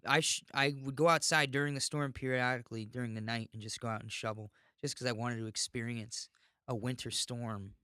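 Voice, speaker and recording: A man's voice: mid-range voice, fairly even intonation, fairly quick, sharp tone, smooth, no echo, clean studio sound. A man talking casually and bluntly as a podcast host, rambling and swearing freely through a monologue.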